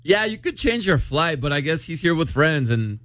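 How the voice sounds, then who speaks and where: A man's voice: on the high side, very animated, moderate pace, a bit bright, dry recording. A man hosting a talk show, speaking in casual, chatty radio banter.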